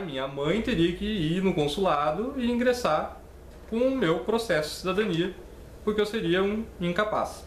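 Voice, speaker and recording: Brazilian man in his twenties, echoey room. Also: high voice. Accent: Brazilian Portuguese. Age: 20s. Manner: conversational, checks in with "beleza?" and "né?". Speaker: channel host explaining citizenship law. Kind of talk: casual explainer reading legal text. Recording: echoey room with faint ambient noise.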